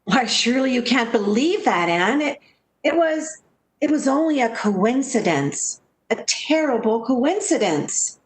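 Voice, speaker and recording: A woman's voice: a little high, sing-song, unhurried, poor audio. A woman playing a worried, practical, protective friend in a 1940s-style radio drama, with an urgent, firm, theatrical way of speaking. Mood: disbelieving.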